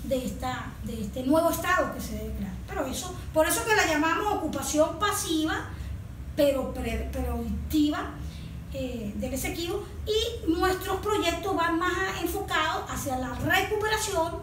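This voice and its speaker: A woman's voice: very high-pitched, lively intonation, measured pace. A woman with a Venezuelan accent explaining a proposal as a guest consultant, in an emphatic, didactic manner. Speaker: environmental consultant, interview guest. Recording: echoey room, distant mic, clear background noise.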